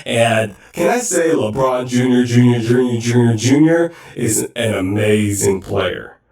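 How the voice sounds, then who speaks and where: A man's voice: mid-range voice, lively intonation, slow pace, vocal fry, darkish tone. Man playing cyborg soldier, earnest, rambling, easygoing, heavy echo, distant voice, audio drama. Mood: chatty, rambling. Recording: very echoey, distant-sounding room.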